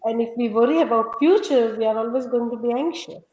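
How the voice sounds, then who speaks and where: A woman with an Indian accent, a native Gujarati speaker, explaining advice as a guest in a measured way with frequent pauses. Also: a little high, a little mellow. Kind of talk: explaining goal-setting advice. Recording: roomy echo.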